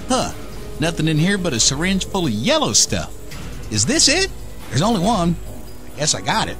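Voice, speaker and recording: An actor playing a cocky, whiskey-drinking American redneck survivor, telling his story in folksy slang in a radio play. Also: high-pitched. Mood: wry, anxious. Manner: folksy, slangy drawling wisecracks. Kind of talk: radio play monologue narration.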